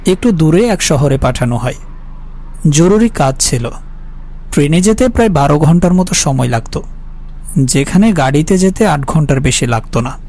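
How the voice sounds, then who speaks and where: A man's voice: fairly high pitch, darkish tone. A man playing an anxious, guilt-haunted office worker who tells his horror story with uneasy earnestness. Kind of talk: dramatic horror story narration and dialogue. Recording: music behind the voice.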